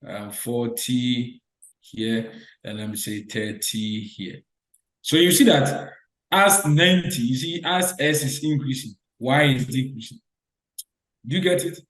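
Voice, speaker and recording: Un hombre imparte una clase en línea con voz de tono medio, grabado en una sala con eco. Repite las preguntas y pide respuestas breves a los estudiantes. Explica paso a paso, volviendo sobre cada idea. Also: male voice, medium pitch, a little slow, a bit bright, roomy sound, low-quality recording. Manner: repetitive call-and-response questioning. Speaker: lecturer. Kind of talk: online biostatistics lecture.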